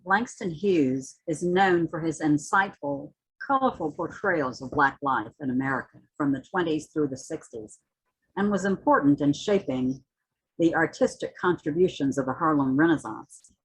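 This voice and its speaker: A woman giving a dramatic, impassioned poetry reading, with emphatic, deliberate phrasing and a husky voice. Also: sits low, animated, rough recording. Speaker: reader at a community literary read-in. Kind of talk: introduction and dramatic poetry recitation.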